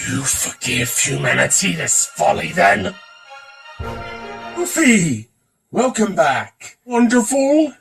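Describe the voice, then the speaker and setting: Male voice actor, theatrical character reads, slow and very animated, slightly off-mic over music. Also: high voice, creaky, recorded from a distance. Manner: theatrical, switching between many character voices. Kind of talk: voice acting demo reel of game and cartoon character impressions.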